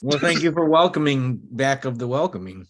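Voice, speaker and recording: Male, medium pitch, casual banter with playful mock formality. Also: animated, normal speed.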